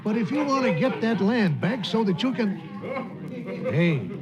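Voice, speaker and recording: A man's voice: high voice, big pitch swings, a little slow. An actor playing a surly, combative ranch-hand henchman in a 1940s radio western. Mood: agitated. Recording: dull old radio recording, crowd murmur.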